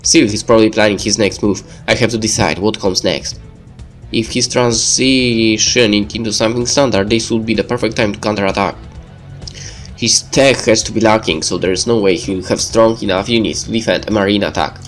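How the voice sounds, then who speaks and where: A man's voice: lowish voice, fairly even intonation, fairly quick. A man reading the narration of a visual novel aloud in a let's play style. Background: game music.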